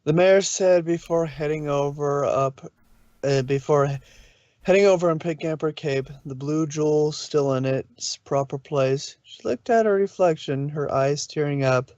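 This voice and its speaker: A man's voice: on the high side, unhurried, darkish tone, no room sound, muffled recording. A man reading a story aloud as narrator, now and then stumbling and correcting himself. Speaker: narrator of a fan fiction reading.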